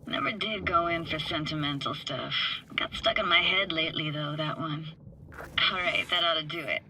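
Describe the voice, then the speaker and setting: An actress playing a young tech diver in a video game, wry, guarded and nostalgic, with casual clipped phrasing. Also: female voice, low-pitched, moderate pace, pushed and bright, a little hiss or hum, poor audio. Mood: quietly earnest. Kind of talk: naturalistic video game acting. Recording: music behind the voice.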